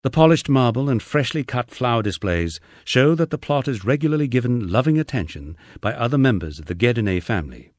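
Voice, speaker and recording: A man's voice: fairly low pitch, dry recording, clean studio sound. A middle-aged man with an English accent reading a first-person memoir as a scripted narration. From England.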